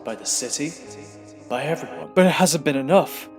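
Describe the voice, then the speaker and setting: A man voicing a gentle older uncle in an audio drama, soft, tender and reassuring. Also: on the high side, moderate pace, echoey room, big-room sound, soft background music, lightly acted. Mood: tender reassurance.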